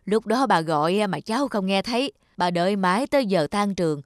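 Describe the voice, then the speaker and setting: A woman's voice: medium pitch, lively intonation, a little fast, no room sound, studio quality, lightly acted. A woman voicing a kindly, forgiving old woman who sells dumplings, in a cartoon. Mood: kind, gentle. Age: older. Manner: gentle, forgiving. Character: kindly, hard-working old woman selling rice dumplings. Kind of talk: cartoon dubbing. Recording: music behind the voice. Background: background music.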